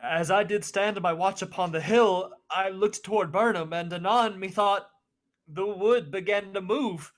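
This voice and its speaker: A man's voice: high-pitched, a little slow. A young man playing a deferential, nervous servant to a king in a radio Shakespeare play. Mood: fearful. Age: young adult.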